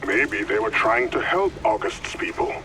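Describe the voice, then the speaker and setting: A man's voice: medium pitch, very animated, unhurried, creaky, rough recording. An actor playing an earnest, loyal young man in a dramatic video game cutscene. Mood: subdued. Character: young man Tiago, desperate, loyal friend of August. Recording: loud dramatic music behind voice.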